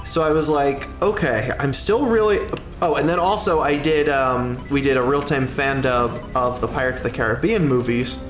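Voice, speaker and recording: A man livestreaming in casual chat, rambling and halting as he talks, with drawn-out pauses and self-corrections. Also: medium pitch. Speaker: livestreamer and content creator. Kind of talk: casual livestream chat, answering a viewer's question. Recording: roomy echo with music underneath. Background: music.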